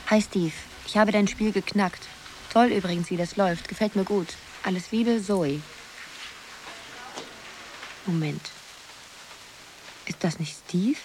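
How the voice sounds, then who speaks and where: A woman's voice: medium pitch, unhurried, long pauses, darkish tone, a little hiss or hum, close to an everyday voice. An actress playing a dreamy young woman absorbed in a computer game, musing half to herself in a radio drama. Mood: puzzled.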